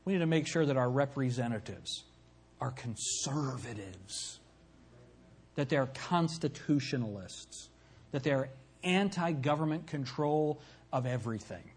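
A man preaching a sermon from the pulpit, emphatic, swinging from loud peaks to low, quiet lines. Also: lively intonation, a little slow. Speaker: pastor.

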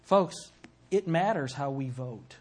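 A man preaching a sermon from the pulpit, emphatic, swinging from loud peaks to low, quiet lines. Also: lively intonation, takes it slowly. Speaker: pastor.

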